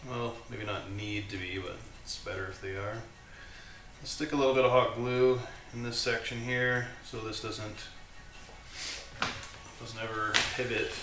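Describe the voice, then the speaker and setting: Man talking low, far from mic. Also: steady tone, fairly bright tone. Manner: thinks aloud while working, with halting restarts. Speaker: maker hosting a live build stream. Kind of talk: casual live workshop commentary. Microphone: condenser mic set away from him. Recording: distant, roomy mic with music playing. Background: music from a streaming app.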